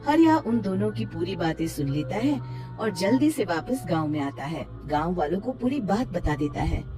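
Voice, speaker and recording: A woman narrating a scripted cartoon tale as an expressive storyteller. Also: fairly low pitch, moderate pace, over music.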